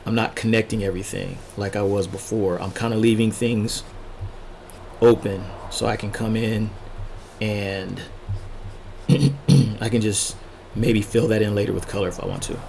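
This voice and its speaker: A man casually narrating a drawing tutorial as he sketches, thinking aloud in short unhurried fragments, his voice a little worn from talking. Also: fairly low pitch, steady tone, creaky, darkish tone, a little hiss or hum. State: losing his voice, throat-clearing. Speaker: art tutorial host, illustrator.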